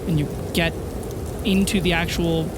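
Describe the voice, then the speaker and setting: A man's voice: fairly high pitch, fairly even intonation, moderate pace, heavy background noise. A man working as game master, narrating an improvised tabletop adventure scene. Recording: loud rain and thunder ambience.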